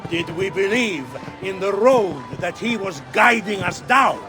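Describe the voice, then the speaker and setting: An actor playing a pompous Venetian ruler with an Italian accent, giving a grandiose theatrical speech. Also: male voice, high-pitched, animated, measured pace. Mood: rousing. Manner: grandiose, declamatory. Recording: loud music behind the voice.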